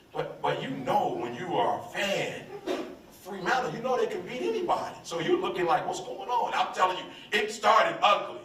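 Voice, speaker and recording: A man's voice: sits high, a bit bright, far from the mic, slight background noise. American male motivational speaker, emphatic and repetitive, heard a bit off-mic in a roomy hall. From United States. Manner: emphatic call-and-response preaching, repeats phrases. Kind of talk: live motivational speech. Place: large room with audience.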